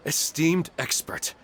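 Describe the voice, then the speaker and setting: A man voicing an earnest, respectful townsman in a video game, deferential in manner. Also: pushed and bright.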